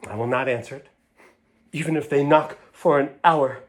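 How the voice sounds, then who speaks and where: A woman's voice: sits low, fairly even intonation, moderate pace. Storybook role: sulky drama-queen little girl, voiced by a man, haughty and emphatic, every grievance precisely stated. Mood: stubborn. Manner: pompous, emphatic, over-precise declarations.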